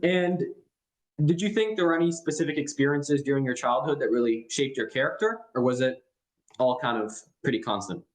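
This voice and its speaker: Young male podcast host, even intro read, long chained questions. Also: mid-range voice, brisk. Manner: reads intro evenly, asks long multi-part questions. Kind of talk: scripted intro, then interview questions.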